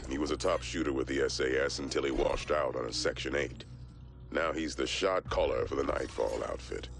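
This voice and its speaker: An actor playing a grave, world-weary war veteran giving terse, matter-of-fact intelligence briefings in a gravelly voice for a video game. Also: male voice, rumbling low, a little fast, background noise. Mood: grave, dry.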